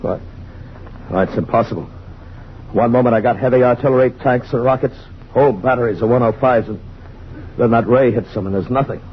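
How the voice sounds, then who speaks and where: A man's voice: medium pitch, fairly quick, long pauses, natural voice. An actor playing a stunned, disbelieving military man in an old-time radio drama. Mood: stunned disbelief. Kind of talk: 1950s radio drama acting. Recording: dull, lo-fi old radio, faint noise.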